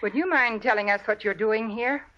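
A woman's voice: a little high, normal speed, sharp tone. A woman playing an anxious, proper preacher's widow and mother, with an American accent, in an old radio western. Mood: disapproving. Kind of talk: 1940s-50s radio western acting. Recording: dull vintage radio recording.

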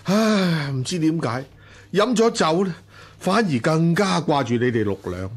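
A man's voice: on the high side, big pitch swings, slightly rough, full character voice. An actor playing a wealthy, lustful and imperious household master in a Chinese period radio drama, sighing and drawing out his words. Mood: tipsy, mournful. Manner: sighing, drawn-out delivery.

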